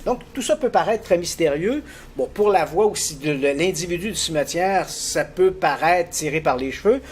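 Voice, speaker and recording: Animated middle-aged man telling a story. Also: moderate pace, a little hiss or hum. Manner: animated, lively storyteller with frequent pitch jumps. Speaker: guest expert on paranormal cases. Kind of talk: storytelling explanation in a conversation.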